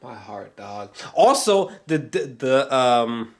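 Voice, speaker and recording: A man giving a casual, rambling film review as an excited fan, swearing freely, often hesitating and repeating himself for emphasis. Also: mid-range voice, slow.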